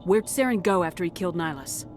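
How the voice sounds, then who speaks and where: Stern, low-voiced female military commander played by an actress. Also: animated, fast talker, darkish tone, faint music behind, close to an everyday voice. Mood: pressing, stern. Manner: firm, direct questioning. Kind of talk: video game voice acting.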